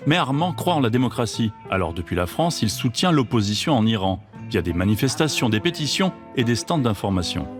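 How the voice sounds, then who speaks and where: A man reading a scripted documentary voice-over in a measured, even way. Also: medium pitch, music in the background. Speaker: documentary narrator.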